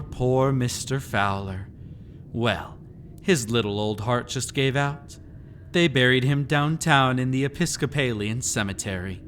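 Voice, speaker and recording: A man's voice: medium pitch, a bit bright. Man playing a teasing, sinister haunted-house keeper, background noise. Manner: teasing, drawn-out words, theatrical asides to the listener. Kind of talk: scripted immersive audio roleplay, storytelling.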